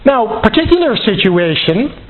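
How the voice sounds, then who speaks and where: A man giving a university lecture, explaining step by step in a deliberate, halting way and often repeating and rephrasing his words. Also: sits high, very animated. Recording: tinny phone-like band, noise, clicks.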